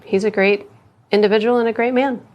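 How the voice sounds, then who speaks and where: A middle-aged woman, a school superintendent speaking earnestly and deliberately in a news interview, pausing between phrases. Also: a little high, steady tone, muffled recording.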